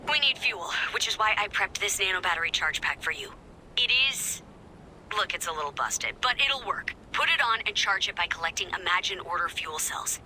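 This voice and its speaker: An actress playing an upbeat, quirky young resistance mechanic in a video game, talking in a chatty, eager, slightly hesitant way. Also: female voice, mid-range voice, animated, normal speed, bright, forceful tone, a little hiss or hum, rough recording. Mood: chatty, unsure. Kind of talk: video game mission dialogue.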